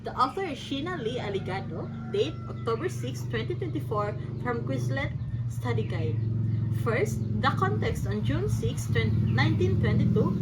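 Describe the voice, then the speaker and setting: Filipino girl presenting from notes, lively highish voice, noisy background. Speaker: student presenter. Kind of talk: scripted presentation. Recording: distant mic, clear background noise.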